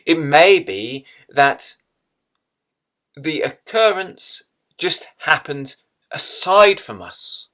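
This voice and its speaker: Slow-speaking man guiding a meditation. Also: fairly high pitch, big pitch swings, sharp tone. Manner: slow, measured, with long pauses between phrases. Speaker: meditation guide. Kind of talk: guided meditation instruction.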